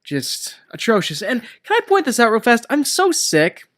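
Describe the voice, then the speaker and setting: A man's voice: extremely high pitch, huge pitch swings, normal speed, fairly bright tone. A man co-hosting a casual movie-review chat, talking in an emphatic, sweary way. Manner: emphatic, profane ranting. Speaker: podcast co-host reviewing movies.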